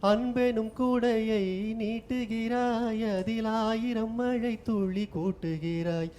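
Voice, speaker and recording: High-pitched man singing an audition song. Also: fairly even intonation, slow pace, a little mellow, faint music behind. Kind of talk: singing film songs at an audition.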